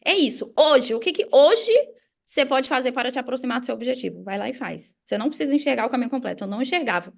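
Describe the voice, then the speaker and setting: A woman's voice: sits high, expressive, fast talker, vocal fry. A Brazilian woman speaking as a podcast guest, explaining mindset in a casual, motivational and emphatic way. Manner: emphatic, repeats key phrases, uses examples and acted quotes. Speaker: podcast guest, affiliate marketer and mindset coach.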